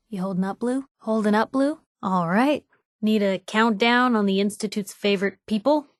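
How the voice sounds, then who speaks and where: A woman's voice: medium pitch, dry recording, studio quality, close to an everyday voice. An actress playing a plucky young woman newspaper reporter in a video game, with a wry, teasing, conversational way of talking. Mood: teasing, warm. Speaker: companion character voice actor.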